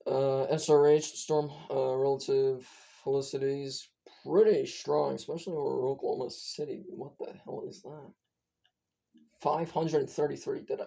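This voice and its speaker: A hoarse speaker whose voice is giving out, giving a casual, rambling weather briefing as an amateur forecaster, stumbling and correcting themselves often. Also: medium pitch, mellow. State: hoarse, losing voice. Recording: dull lo-fi recording.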